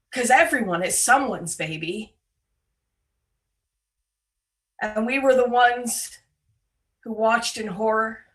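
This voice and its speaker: Woman reading as a slow, grieving female disciple, distant mic. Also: medium pitch, a bit bright. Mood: anguished. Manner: slow, sorrowful, deliberate. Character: grieving female disciple of Jesus, devoted witness. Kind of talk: dramatic monologue reading in worship. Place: remote, on a Zoom call. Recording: distant microphone, video call audio.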